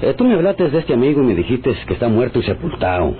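An actor playing a brisk, unconcerned sidekick in an old radio drama. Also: male voice, medium pitch, sing-song, lightly acted. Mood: brisk, dismissive. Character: brisk, unconcerned male sidekick of a jittery man. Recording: tinny, noisy old radio recording.

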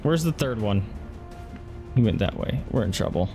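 A man's voice: lowish voice, expressive, normal speed, dark tone. A man doing casual live gameplay commentary, reacting and swearing as he talks to himself and his viewers. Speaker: game streamer. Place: indoors, streaming setup at a computer. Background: game music.

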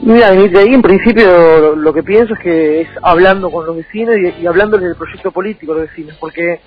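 A young man with a Buenos Aires accent speaks in a high voice as a political guest on the radio. His talk is quick but halting, with many false starts. The sound is dull and lo-fi, with music behind it. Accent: Buenos Aires Argentine. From Avellaneda, Buenos Aires, Argentina. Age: young adult. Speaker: political candidate being interviewed.

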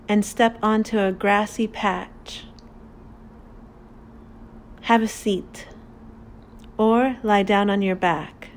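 A woman leads a guided meditation at an extremely slow pace, leaving long pauses between instructions. Her mid-pitched voice is calm, deliberate and soothing. There is faint ambient noise behind her.

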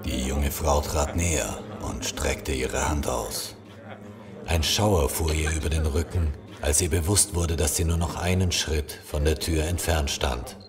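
Horror novelist, extremely low male voice, formal and measured, audience murmur behind. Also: steady tone, a crowd in the background. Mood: ominous, solemn. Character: horror author, solemn, polite but curt with fans. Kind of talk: dramatic literary reading and radio play dialogue.

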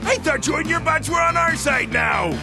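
Creaky blustering crab. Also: male voice, extremely high pitch, moderate pace, music in the background. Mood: indignant. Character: blustering old sailor crab, cartoon. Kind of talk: video game cartoon acting.

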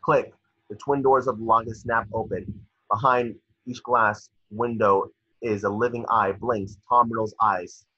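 A man's voice: lowish voice, fairly even intonation, measured pace, pushed and bright, slightly rough, no room sound. A young man reading screenplay stage directions as narrator over a video call. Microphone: computer mic on video call. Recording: dull, dry video-call audio.

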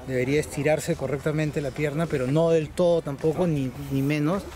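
A man's voice: on the high side, fairly even intonation, a little fast, slight background noise. A man chatting casually outdoors, often checking in with little question tags. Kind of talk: casual chat. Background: loud music.

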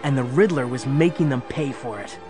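An actor playing an eager young sidekick, narrating a children's audio drama in a dramatic scripted style. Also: male voice, medium pitch, brisk, over loud music, lightly acted. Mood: earnest storytelling. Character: eager young male sidekick telling case history.

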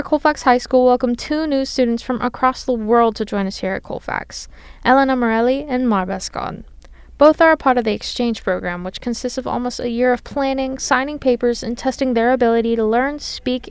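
A teenage girl reads a news feature in a smooth, fairly high voice. The sound is close and dry, with no room around it. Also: moderate pace, no room sound. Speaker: student reporter. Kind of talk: scripted news voice-over.

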